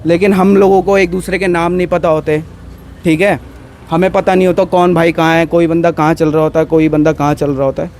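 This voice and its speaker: A man's voice: fairly high pitch, level tone, a little fast, darkish tone, slight background noise. A man from Uttarakhand speaking as a union organiser, rallying a group in an emphatic, insistent way and repeating his phrases. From Uttarakhand, India. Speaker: union organiser addressing members. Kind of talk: impromptu rallying speech to a group.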